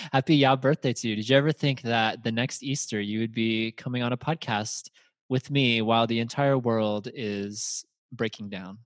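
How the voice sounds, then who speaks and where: A man's voice: medium pitch, lively intonation, moderate pace, dry recording. A man hosting a casual podcast, upbeat and chatty, laughing often as he talks with a guest over a call. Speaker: podcast host.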